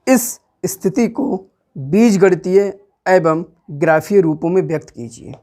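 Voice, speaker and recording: A man's voice: sits high, expressive, a little slow, dark tone. A man with a Hindi accent teaching maths step by step, asking the class questions and answering them himself, patiently repeating each step. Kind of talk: classroom lesson, explaining step by step.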